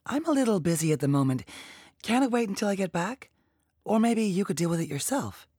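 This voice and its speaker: Lady acting out a lady detective type in an audiobook, insistent but controlled, pitched up, a bit husky. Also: sing-song, brisk, warm tone, no echo, studio quality. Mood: impatient. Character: persistent, tactful female federal agent.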